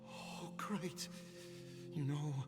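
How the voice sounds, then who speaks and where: Game role: overwrought, guilt-ridden penitent, high and halting, slow delivery with music behind. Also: male voice. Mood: guilty, desperate. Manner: stammering, pleading, overwrought. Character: desperate, pleading male penitent stowaway, guilt-ridden and servile. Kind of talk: scripted video game acting. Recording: long reverb, music behind.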